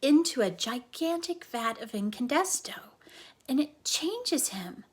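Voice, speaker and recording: A woman's voice: high voice, sing-song, measured pace. A woman voicing an earnest, cynical young girl who loves comics, explaining things emphatically in a storybook reading. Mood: dramatic, emphatic. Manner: emphatic, instructive.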